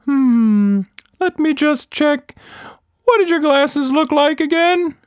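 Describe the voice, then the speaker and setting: A man voicing an excitable, exclamatory male magical monster with many arms, in a children's story. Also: very high voice, slow, no echo, studio quality, voice pushed up. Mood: curious. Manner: enthusiastic, exclamatory.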